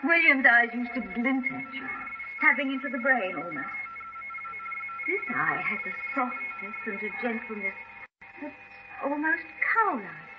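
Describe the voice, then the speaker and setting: A genteel wife in a vintage radio horror play. Her voice is fairly high and very expressive in pitch, polite and full of measured wonder. The sound is dull and noisy. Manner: polite, softly marvelling, measured. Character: genteel, wondering middle-class wife visiting her husband. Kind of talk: 1970s radio horror drama acting.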